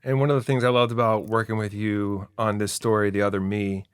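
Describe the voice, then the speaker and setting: A man's voice: lowish voice, level tone, a little fast, clean tone, no echo, studio quality. A man hosting a podcast interview in a casual, earnest and appreciative manner. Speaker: podcast host and writer.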